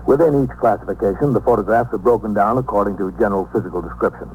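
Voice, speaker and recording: An actor playing a calm, methodical police captain in a 1950s radio drama, speaking and narrating in a measured, matter-of-fact way. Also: male voice, lowish voice, lightly acted. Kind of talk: 1950s radio acting, dialogue and first-person narration. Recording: noisy, humming 1950s radio recording.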